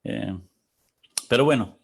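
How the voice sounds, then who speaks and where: A man from Texas hosting a panel, talking casually and reflectively, pausing to search for words and reading out audience comments. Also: on the low side, gravelly, slightly rough. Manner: thoughtful, searching for words, pauses.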